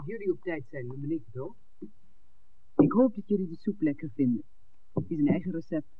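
An actress playing an innkeeper's daughter in a 1960s radio drama, hesitant and intimate. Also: female voice, sits low, animated, brisk, husky. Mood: brisk, friendly. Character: innkeeper's daughter, hesitant but smitten woman. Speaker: actor in a radio play. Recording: phone-like, narrow old radio recording.

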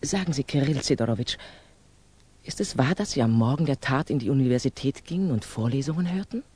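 An actress playing a composed, candid older female revolutionary in a 1960s German radio drama, measured, admonishing and probing. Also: very deep voice, lively intonation, dark tone, breathy edge, no room sound, exaggerated voice. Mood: probing, encouraging.

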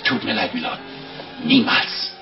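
A man's voice: unhurried, lightly acted. A man playing an anxious, wheedling monk in a comic radio play, fussily polite and given to stammering. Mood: pleading refusal. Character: anxious, wheedling male monk of a begging order. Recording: dull lo-fi radio recording, music behind.

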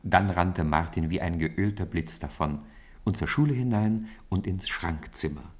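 A man's voice: deep voice, a little slow, a little mellow. A man giving scripted literary narration as the storyteller of a radio play.

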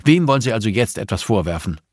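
A man's voice: on the low side, sing-song, fast, darkish tone, no room sound, studio quality, pitched above the performer's own voice. A man voicing a firm, defiant female police investigator in an audiobook, pointed and confrontational. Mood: firm, defensive.

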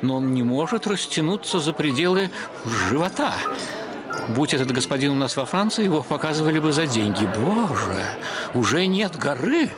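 A man's voice: on the high side, big pitch swings, normal speed, over a noisy crowd. An actor playing an anxious, indignant Frenchman in a radio short story, muttering to himself in fretful astonishment. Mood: astonished. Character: anxious, indignant Frenchman watching a glutton in horror. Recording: music behind the voice.

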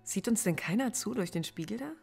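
An actress playing a cool, defiant philosophy professor under interrogation in a naturalistic radio drama, measured and dryly cutting. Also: female voice, medium pitch, animated, gravelly, darkish tone, faint music behind. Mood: dryly challenging.

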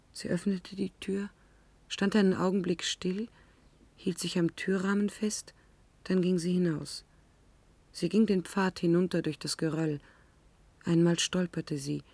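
An actress in a radio drama playing a shaken, grieving woman who tells her memories in a halting, hushed, weighty way. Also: lowish voice, vocal fry, breathy edge, no echo, heavily acted. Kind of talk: radio drama acting, first-person narration.

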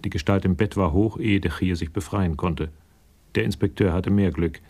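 A man with a gravelly voice reading scripted literary narration for a German radio crime drama. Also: low-pitched, normal speed, darkish tone.

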